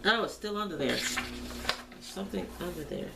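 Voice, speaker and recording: A woman with a gravelly, creaky voice talks through her colouring in an informal, folksy way. She sounds away from the microphone in an echoey room, with clear noise on the recording. She speaks casually, as if thinking out loud for her viewers. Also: on the low side, brisk. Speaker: host of a colouring tutorial vlog. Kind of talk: casual chat while colouring. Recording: roomy, noisy, distant home camera mic.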